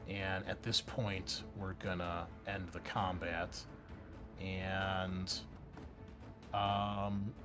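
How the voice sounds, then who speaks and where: A man narrating a tabletop roleplaying game as game master, improvising in a halting, conversational way. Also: low-pitched. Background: background music.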